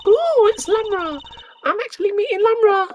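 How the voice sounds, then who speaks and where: Woman putting on a high-pitched, gushing teenage girl fan. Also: animated, normal speed, a little mellow, lo-fi sound, a little hiss or hum. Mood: excited. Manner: gushing, exclamatory. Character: excitable, starry-eyed teenage girl music fan. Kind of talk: amateur video game character voicing. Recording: game music behind the voice.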